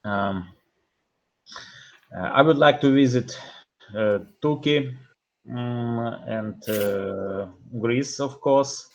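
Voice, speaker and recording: A man with a Ukrainian accent speaking English as a learner, halting and correcting himself. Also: mid-range voice, lo-fi sound, a little hiss or hum. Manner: halting, self-correcting, many "uh" fillers. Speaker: course participant. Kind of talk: unscripted conversation practice.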